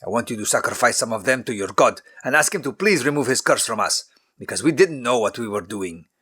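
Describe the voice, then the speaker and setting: A man voicing an angry ancient king who speaks in a forceful, accusing way. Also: medium pitch, lively intonation, fairly quick, breathy edge. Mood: stern.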